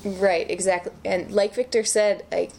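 A woman's voice: medium pitch, fairly even intonation, moderate pace, vocal fry, warm tone, slight background noise. Encouraging woman co-host. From northern United States. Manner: upbeat, encouraging, frequent short affirmations. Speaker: podcast co-host. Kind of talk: casual chat.

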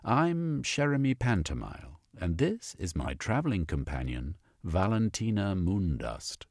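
A man playing a bluff, pompous gentleman explorer in an audiobook, talking with jaunty upper-class bluster. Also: low-pitched, big pitch swings, measured pace, vocal fry, dry recording, studio quality, pitched above the performer's own voice, exaggerated voice.

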